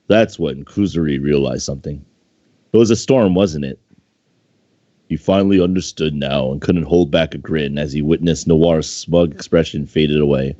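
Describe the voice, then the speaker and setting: A man's voice: extremely low pitch, mellow. A man reading fan fiction aloud in an amateur, casual way, stumbling over the text and commenting on it. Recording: dull, roomy home microphone recording.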